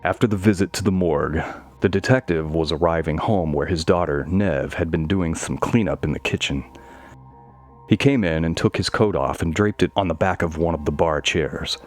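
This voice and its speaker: A man's voice: deep voice, normal speed, faint music behind. A man reading scripted narration for a horror audio story.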